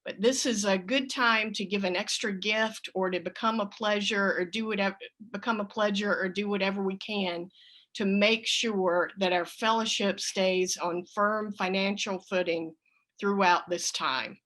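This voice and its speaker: A woman addresses a congregation with church announcements and an offering appeal. Her voice sits at a middle pitch, and she phrases things deliberately, with open appreciation. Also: steady tone, a bit bright.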